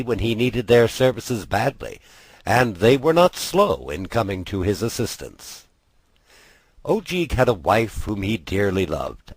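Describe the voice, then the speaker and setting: Male storybook narrator, husky and low, measured dramatic phrasing, close dry sound. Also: dry recording. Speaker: audiobook narrator. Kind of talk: expressive scripted storytelling narration.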